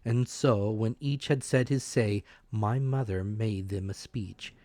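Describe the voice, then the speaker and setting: A man giving a dramatic, scripted audiobook narration of an adventure story, measured and expressive. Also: on the low side, no room sound.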